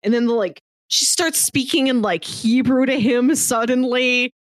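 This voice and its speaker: A woman's voice: a little high, measured pace, dry recording. A woman co-hosting a podcast, talking casually and conversationally about film. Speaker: podcast co-host. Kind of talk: casual film discussion chat.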